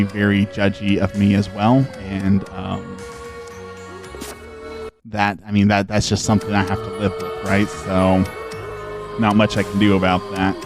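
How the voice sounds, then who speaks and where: A middle-aged man streaming a game, chatting casually and earnestly with viewers into a desk microphone, with many fillers and restarts. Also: lowish voice. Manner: outgoing and extroverted. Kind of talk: casual live-stream chat answering viewers while gaming.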